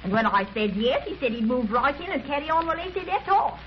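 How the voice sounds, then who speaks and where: Fussy chatty landlady, high voice, folksy, old noisy radio recording. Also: very animated, brisk, lo-fi sound. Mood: chatty, deferential. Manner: folksy, exclaiming, chatty, lamenting. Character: fretful, chatty working-class landlady, folksy and respectful. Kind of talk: 1930s radio acting.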